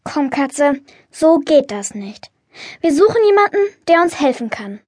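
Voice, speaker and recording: A woman's voice: sits high, sing-song, measured pace, darkish tone, no echo, clean studio sound. A woman playing a determined, curious young witch girl in a children's audiobook. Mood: resolute.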